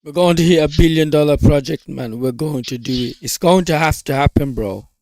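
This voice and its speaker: A man's voice: fairly high pitch, fairly quick, darkish tone, dry recording. A man chatting casually on a group call in hyped, laid-back slang, peppering his talk with bro and man.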